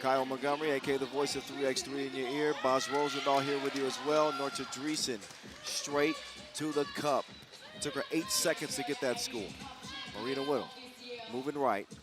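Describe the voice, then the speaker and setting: A man calling a basketball game play by play, energetic and jokey, getting louder on big plays. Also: mid-range voice, moderate pace. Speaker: play-by-play commentator. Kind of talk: live basketball commentary. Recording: music playing behind the commentary.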